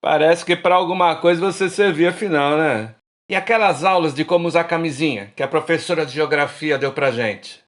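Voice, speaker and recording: An actor playing a teasing, sardonic old friend with a Brazilian accent in a radio drama dialogue. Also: male voice, fairly high pitch, brisk, natural voice.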